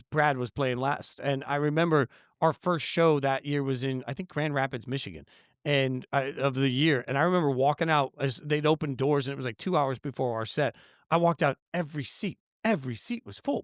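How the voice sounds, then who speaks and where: A man appearing as a guest on a sports podcast, telling animated stories full of fillers. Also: mid-range voice, fairly quick, no echo, studio quality. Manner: animated storyteller, lots of fillers. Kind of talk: casual interview storytelling.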